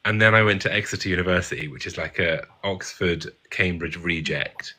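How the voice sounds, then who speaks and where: Posh-sounding English man, very deep. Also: brisk. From England. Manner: animated, self-mocking. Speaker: guest. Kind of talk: casual live stream chat.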